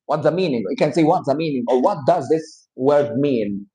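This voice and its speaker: A man with an Egyptian accent teaching English grammar to a class. He explains slowly and patiently, with Arabic as his first language. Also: a little high, dark tone. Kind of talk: live classroom grammar lesson.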